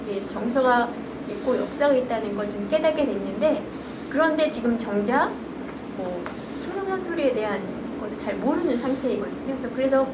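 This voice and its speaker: A middle-aged woman from Korea's Chungcheong region giving halting, hesitant congratulatory remarks at a book launch. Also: fairly high pitch. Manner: hesitant, halting, frequent restarts. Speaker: guest speaker. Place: library event hall. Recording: echoey hall, loud background noise.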